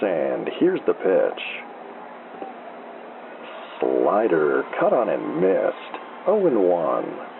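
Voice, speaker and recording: A man playing an unflappable small-town baseball radio announcer, calling play-by-play in an unhurried deadpan over a noisy, thin AM broadcast. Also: fairly low pitch, phone-quality sound, full character voice. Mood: calm, matter-of-fact. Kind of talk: slow old-time baseball radio play-by-play.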